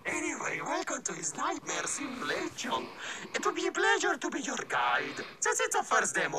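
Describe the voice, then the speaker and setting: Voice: high voice, exaggerated voice. A performer voicing a sardonic undead game guide, mock-polite and irritable, in theatrical host style.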